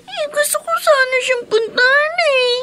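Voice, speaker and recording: A woman's voice: very high-pitched, normal speed, bright, forceful tone. A woman with a Filipino accent playing a tearful young schoolgirl who misses her father in a radio drama. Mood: tearful, pleading.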